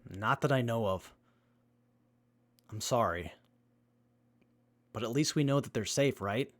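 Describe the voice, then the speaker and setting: A man's voice: medium pitch, unhurried, lightly acted. A man playing an earnest, knowledgeable young survivor in a post-apocalyptic audio drama, speaking matter-of-factly. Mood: apologetic.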